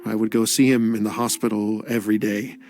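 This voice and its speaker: A gentle, grieving scholar, played by a man, speaks in a fairly low voice. He talks softly and reflectively, often sighing between thoughts. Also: little pitch movement, fairly quick, soft background music, natural voice. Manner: soft-spoken, sighing, reflective. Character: grieving male scholar and game creator, gentle. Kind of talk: game cutscene voice acting.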